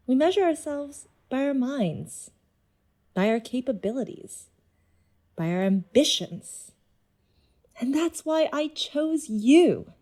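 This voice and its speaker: An intense, seductive inhuman being in a woman's body played by an actress in an audio drama. The voice is high and soft-toned, and it moves slowly and deliberately, drawing the listener in with measured persuasion. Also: full character voice. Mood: tender, proud.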